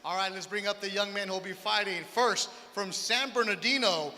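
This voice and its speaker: A man hosting a boxing press conference, introducing fighters with energetic, hype announcing. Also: high voice, moderate pace. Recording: reverberant hall with faint background noise.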